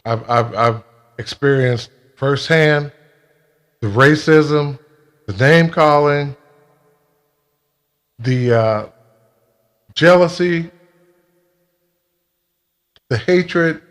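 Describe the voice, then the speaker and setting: A man speaking in a casual monologue, with a halting, blunt and emphatic way of talking. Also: a little high. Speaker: video creator telling a personal grievance.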